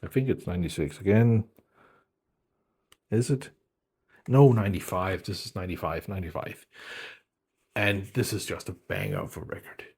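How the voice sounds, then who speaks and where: Deep husky-voiced man from Denmark. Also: moderate pace, long pauses, a little mellow. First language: German. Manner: rambling, many fillers and restarts. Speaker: music video host. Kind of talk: casual talk about his CD collection.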